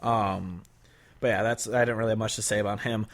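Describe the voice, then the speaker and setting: A man's voice: lowish voice, steady tone, a little fast. A man co-hosting a casual podcast, reading from his notes and laughing often. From Northern US. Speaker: podcast co-host. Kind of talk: casual chat.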